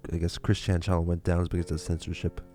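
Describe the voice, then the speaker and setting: A man's voice: low-pitched, flat delivery, fast, dark tone, faint music behind. A young man from Lithuania with a Lithuanian accent, chatting casually on a livestream and answering viewer questions, with many fillers and restarts. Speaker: livestream host.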